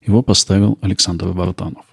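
A man's voice: sits low, steady tone, a little fast, gravelly, heavy background noise. A man hosting a podcast, reading scripted narration close to the microphone. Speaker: podcast host.

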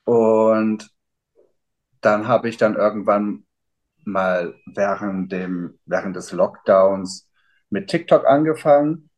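A man's voice: lowish voice, slow pace, darkish tone, lo-fi sound. A man in his thirties answering interview questions casually, rambling with many fillers. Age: 30s. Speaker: interview guest, father.

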